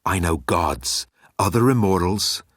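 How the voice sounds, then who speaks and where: A man's voice: sits low, lively intonation, a little slow, fairly bright tone, dry recording, studio quality, exaggerated voice. Man playing a pompous, vain space rogue. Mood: indignant.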